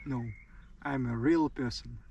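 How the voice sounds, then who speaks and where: Young Russian-accented man, slow and distant. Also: medium pitch, fairly even intonation, vocal fry, a little mellow, breathy edge, lo-fi sound. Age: 20s. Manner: hesitant, searching for English words. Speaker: interviewee. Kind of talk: casual interview outdoors.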